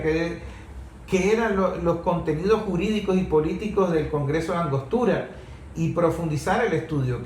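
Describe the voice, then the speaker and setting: A man hosts a talk programme with a fairly high voice. He explains and argues emphatically, restating his points. The room is clearly echoey. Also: a little mellow. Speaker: host of a discussion programme. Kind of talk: opinionated talk show discussion. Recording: echoey room with faint background noise.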